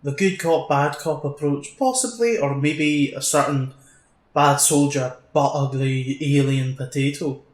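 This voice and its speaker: A man playing a gruff, cocky older soldier in an audio drama, blustering and colloquial, recorded with an echo. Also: fairly high pitch, measured pace, natural voice. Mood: brash, tough. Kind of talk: fan audio drama acting. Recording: echoey, reverberant room sound.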